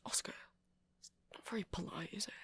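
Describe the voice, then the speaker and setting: A soft-spoken man doing a rambling ASMR roleplay as a shopkeeper, hesitant and apologetic in manner. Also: a little fast, dry recording. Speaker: character performer playing a shopkeeper.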